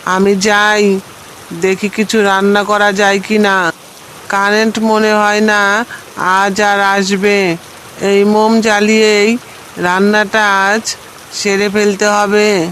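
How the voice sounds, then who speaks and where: Stern, worried village mother, acted by a man, cartoon story. Slow, even delivery, faint noise behind. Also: sits high, pitched above the performer's own voice, low-quality recording. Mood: weary, practical. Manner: stern, cautioning.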